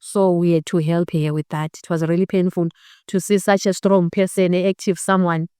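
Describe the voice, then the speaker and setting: A woman's voice: on the low side, level tone, normal speed, mellow, clean tone, dry recording, studio quality. A woman in her twenties with a Zimbabwean accent and Ndebele as her first language, earnestly telling her personal story as an interview guest and switching between English and her own language. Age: 20s. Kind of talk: personal testimony in a documentary-style interview.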